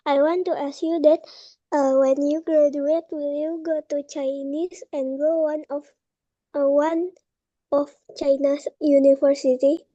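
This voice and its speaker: A young woman student, asking a classmate a question in careful, halting English over a video call. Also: sits high, flat delivery, dark tone, no room sound, muffled recording.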